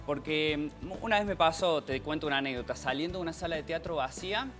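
A man's voice: fairly high pitch, fast, over music. A man in his thirties with a Córdoba Argentine accent, an actor speaking passionately as a guest in a TV studio interview. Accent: Cordobés Argentine Spanish. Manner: passionate, animated with hand gestures.

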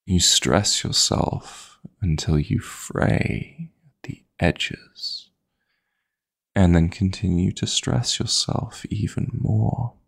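A man voicing a possessive, flirty yet tender dream demon lover in an intimate ASMR sleep-aid roleplay, coaxing in a husky, breathy voice with formal endearments. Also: low voice, takes it slowly, full character voice. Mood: seductive longing. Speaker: audio roleplay performer.

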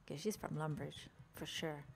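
A woman's voice: deep voice, fairly even intonation, moderate pace, gravelly, a little hiss or hum. A young woman from Norway with a Norwegian accent, chatting playfully with viewers while narrating her game character. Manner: chatty, jokey, chatting with chat while playing. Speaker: game streamer.

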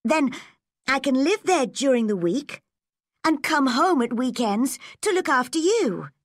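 A woman's voice: sits high, lively intonation, measured pace, dry recording, clean studio sound, pitched above the performer's own voice. A woman voicing an eager, earnest teenage girl full of hope.

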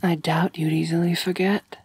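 A woman playing an elegant, doting, gently dominant vampire mistress in an intimate ASMR roleplay, coaxing in a soft, genteel voice close to the microphone. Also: lowish voice, flat delivery, dry recording. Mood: intimate, hungry. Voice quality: soft, breathy, husky. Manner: slow, coaxing, genteel, purring endearments. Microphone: close, dry microphone.

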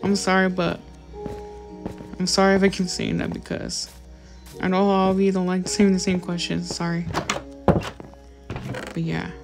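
A woman's voice: lowish voice, flat delivery, measured pace, gravelly, long pauses, over music. Apologetic rambling woman gaming vlogger. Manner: apologetic, rambling, many fillers. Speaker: gaming YouTuber. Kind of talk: casual gameplay commentary.

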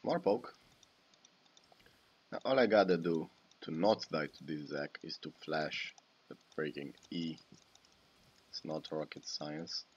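A man's voice: extremely low pitch, takes it slowly, pauses often, breathy edge, muffled recording. A young man with a Romanian accent commenting over his own gameplay at home, cursing at misplays. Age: young adult. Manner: swears and exclaims at misplays. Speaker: gaming YouTuber. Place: small home room.

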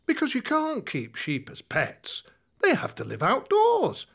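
A man's voice: extremely high pitch, wildly swooping pitch, unhurried, gravelly, fairly bright tone, breathy edge, full character voice. A man voicing a kindly, reassuring king and father in a children's cartoon. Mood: kindly firm. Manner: affectionate, reassuring. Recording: music behind the voice.

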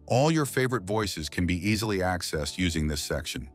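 A man hosting a scripted software tutorial, narrating as the presenter. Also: low voice, expressive, a little fast, faint music behind. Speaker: YouTube tutorial host.

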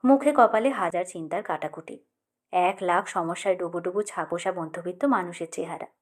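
A woman's voice: fairly low pitch, a little fast. A woman narrating a literary short story expressively, as an audiobook reader.

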